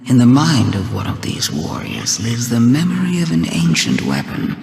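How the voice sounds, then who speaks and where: A woman playing an ancient, cunning alien witch queen in a theatrical video game monologue, sly, deliberate, taunting and grandiose. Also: rumbling low, vocal fry, exaggerated voice. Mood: savoring, ominous. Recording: echoey voice with long cavernous reverb.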